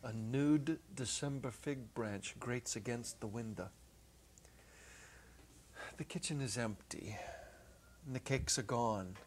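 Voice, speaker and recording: Middle-aged male storyteller, unhurried and deliberate, dramatic pauses, faint noise on an old tape. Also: medium pitch. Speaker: story reader, teacher. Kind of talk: dramatic reading of a literary short story. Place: small indoor set. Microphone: lapel microphone. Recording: noisy old videotape recording.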